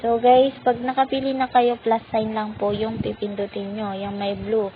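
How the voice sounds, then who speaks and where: A woman's voice: on the high side, flat delivery, moderate pace, phone-quality sound, music in the background. A woman hosting an app tutorial, politely and patiently walking viewers through each step. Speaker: tutorial channel host.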